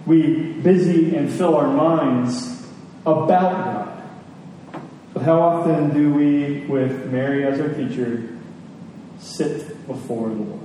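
Higher-voiced man preaching in an echoey hall. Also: dark tone. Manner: earnest, rhetorical questions, emphatic pauses and repetition. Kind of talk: sermon, teaching scripture to a congregation. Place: large reverberant room. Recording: echoey hall, distant mic, faint noise.

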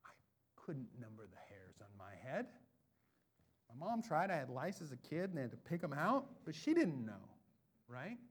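An American man from the Pacific Northwest preaching a sermon, loud and animated, dropping into quiet asides before rising to booming emphasis. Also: moderate pace, long pauses, slightly rough. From Bellingham, Washington, USA. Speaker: pastor. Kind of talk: expository sermon.

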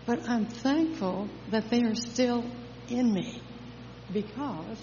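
An older woman sharing an emotional church testimony in a heartfelt, halting way. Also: fairly high pitch, a little hiss or hum. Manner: emotional, heartfelt, with soft laughs. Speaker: congregation member sharing testimony. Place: church sanctuary. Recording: echoey church hall, dull sound.

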